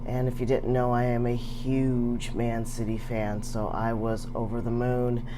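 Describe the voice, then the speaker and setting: Chatty low-voiced woman vlogger. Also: little pitch movement, a little slow, noisy background. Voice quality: chatty, rambling with many fillers. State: slightly raspy voice. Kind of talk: casual chat.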